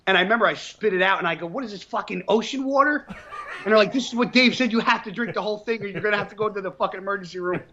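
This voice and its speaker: A man in his thirties from New Jersey talks casually in an interview, blunt and full of swearing. His voice sits fairly high, and the audio sounds a little dull and muffled. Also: very fast. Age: 30s. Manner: blunt, swears often, animated storytelling. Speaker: professional bodybuilder guest.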